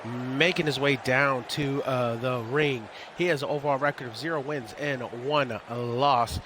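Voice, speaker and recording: A man with a slightly hoarse, congested voice, casually hosting a livestream of a wrestling game league. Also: mid-range voice, steady tone, unhurried, noisy background. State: hoarse, getting over a cold.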